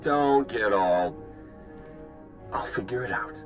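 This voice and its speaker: Blunt, sardonic spy, lively pitch. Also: male voice, slow pace, over music. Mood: irritated. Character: wry, blunt male spy, easily exasperated. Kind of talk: video game cutscene acting.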